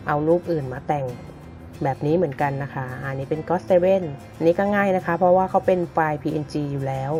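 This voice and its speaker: Low-voiced woman over music. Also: a little fast, a little mellow. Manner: informal big-sister address to viewers. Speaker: craft tutorial host. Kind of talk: casual step-by-step tutorial narration. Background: background music.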